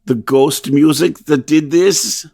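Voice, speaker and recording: A man's voice: on the high side, steady tone, slow, gravelly, a little mellow, no room sound, studio quality, exaggerated voice. A man playing an anguished composer who fears madness in an old-time radio drama, intense, brooding and theatrical. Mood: desperate. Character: haunted, obsessed male composer, anguished and fearful of madness.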